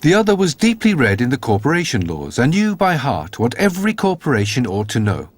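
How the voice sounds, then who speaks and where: A man's voice: medium pitch, huge pitch swings, fairly quick, dry recording, faint music behind. A man narrating a scripted fairy tale as a storyteller.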